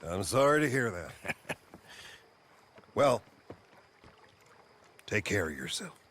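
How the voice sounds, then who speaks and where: Kindly frontier preacher, mild, slow delivery, noisy watery background. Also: male voice, lowish voice, big pitch swings, fairly bright tone, heavily acted. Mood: gentle. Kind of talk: naturalistic video game acting.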